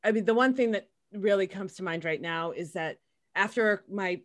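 A woman's voice: mid-range voice, normal speed. A woman co-hosting a podcast and sharing anecdotes in casual conversation. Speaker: podcast co-host.

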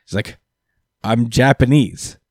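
A man's voice: mid-range voice, takes it slowly, a little mellow, no room sound. A man co-hosting a casual podcast, recapping a manga with lots of fillers and acted-out quotes. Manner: hesitant, lots of fillers, retells scenes with quoted lines. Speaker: podcast co-host.

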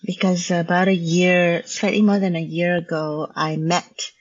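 A choreographer whose first language is Hokkien, speaking in a reflective conversation over a video call, thoughtful and halting, with many restarts. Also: female voice, fairly low pitch, flat delivery. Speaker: choreographer and teacher. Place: home in Vancouver. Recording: dull lo-fi video call audio.

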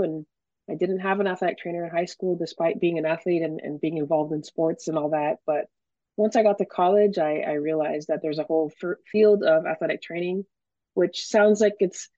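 A woman's voice: fairly low pitch, dark tone, no echo, highs cut off. A woman from Miami, a professor, answering interview questions in a fluent, explanatory way with frequent fillers. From Miami, Florida. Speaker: professor and guest.

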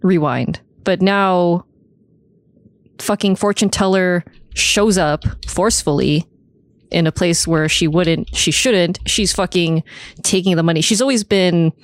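A woman talks as co-host of a casual podcast. Her voice sits a little low, her wording is blunt and sweary, and the recording is dry. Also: a little slow, no room sound. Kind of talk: casual anime discussion chat.

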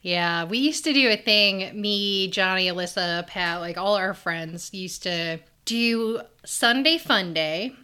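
A woman with a bright, crisp voice who laughs readily and jumps in quickly. She swears freely in relaxed podcast chat. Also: medium pitch. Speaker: podcast co-host. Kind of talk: casual chat.

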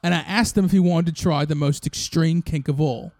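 Male co-host, high voice, dry close mic, breezy mock-earnest improv narration. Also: brisk, darkish tone, dry recording. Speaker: podcast co-host. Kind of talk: improvised comic storytelling.

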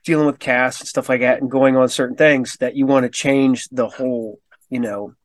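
A man hosting a casual interview podcast, speaking hesitantly, repeating words and filling pauses with "uh" and "you know". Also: medium pitch, fairly even intonation, dry recording. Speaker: podcast host. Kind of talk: casual conversation.

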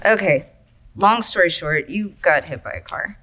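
Woman doing laid-back coma patient in an audio drama, bright forward voice, flippant, slangy, gets offended easy. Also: lowish voice, lively intonation, moderate pace. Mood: sheepish, casual. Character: laid-back, needy, long-stranded coma patient greeting newcomers.

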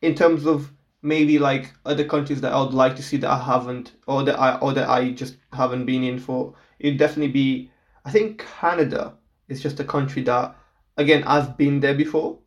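A man's voice: medium pitch, fairly even intonation, moderate pace. A young man from Portugal talks in an easygoing, chatty way. He laughs often and repeats his first words before he gets going. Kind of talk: casual chat.

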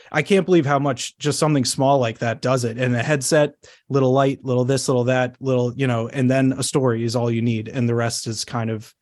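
A man's voice: mid-range voice, darkish tone, dry recording. A man chatting casually in a roundtable, informal and given to repeating phrases. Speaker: podcast team member.

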